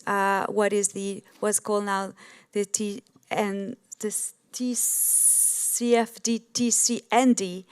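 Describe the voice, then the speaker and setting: Middle-aged female panelist, Argentine accent, native Spanish speaker, smooth clean voice, level intonation. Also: mid-range voice. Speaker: panel guest, sustainability entrepreneur. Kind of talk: panel discussion, introducing own work.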